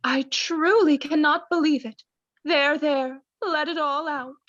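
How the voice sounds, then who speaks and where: Woman as a high-voiced, doting New Age wife. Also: steady tone, a bit bright, dry recording, dull audio. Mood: soothing, doting. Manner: affectedly soothing therapy-speak, drawn-out. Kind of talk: student radio play acting.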